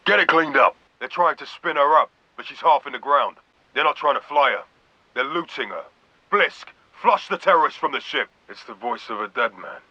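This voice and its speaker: Casting: authoritative middle-aged fleet commander for a sci-fi shooter game; clipped, formal delivery, slightly distant echoey sound. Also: male voice, on the high side, lively intonation, moderate pace, pushed and bright, highs cut off. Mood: urgent, demanding. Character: stern, authoritative male military vice admiral commanding troops. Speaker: military commander. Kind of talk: video game military briefing voice acting.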